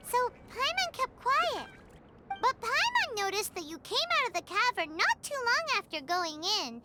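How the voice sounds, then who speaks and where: A woman voicing a small, excitable fairy-like girl companion in a video game, with a chirpy, childlike, chatty manner. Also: extremely high pitch, heavy background noise. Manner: chirpy, refers to self in third person. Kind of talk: scripted video game character acting. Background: music.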